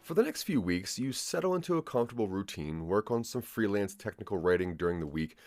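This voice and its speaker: A man narrating a scripted audio drama in the second person, with a measured storytelling delivery. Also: lowish voice, animated, darkish tone, faint music behind.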